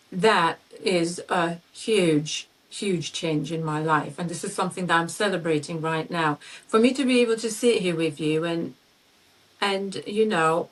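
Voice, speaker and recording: A woman in her forties who speaks English as a second language, hosting a personal conversation and talking haltingly, searching for words. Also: mid-range voice, recorded from a distance, rough recording. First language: not English. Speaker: podcast host.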